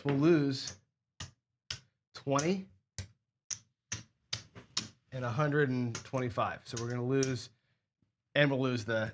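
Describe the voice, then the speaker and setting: A man hosting a craps strategy show, hoarse and sniffly, chatting casually as he thinks aloud and counts out chips and bets. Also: medium pitch, a bit bright, a little hiss or hum. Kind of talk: casual play-along commentary.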